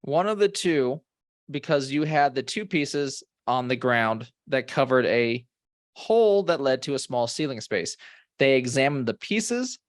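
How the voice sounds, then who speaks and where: A man's voice: mid-range voice, expressive, unhurried, no room sound, studio quality. A man co-hosting a comedy podcast, reading ghost stories aloud with wry, bantering asides. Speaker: podcast co-host.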